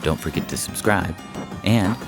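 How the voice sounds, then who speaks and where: A man with an extremely low voice reads scripted science fiction as a podcast narrator. There is a gravelly fry in his delivery, and faint music plays behind him. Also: moderate pace.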